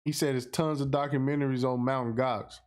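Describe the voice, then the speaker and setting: A man's voice: mid-range voice, steady tone, fairly quick, darkish tone. An American man hosting a casual livestream podcast, speaking in a slangy, emphatic way and repeating phrases for effect. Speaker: podcast host. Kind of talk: casual livestream monologue, responding to viewer comments.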